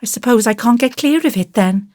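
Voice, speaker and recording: A woman's voice: fairly high pitch, moderate pace, dry recording, clean studio sound, pitched above the performer's own voice, close to an everyday voice. A woman voicing an earnest, naughty but penitent little boy in an audiobook, pleading and blurting in childlike, colloquial speech. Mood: curious, pleading. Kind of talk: audiobook character voice.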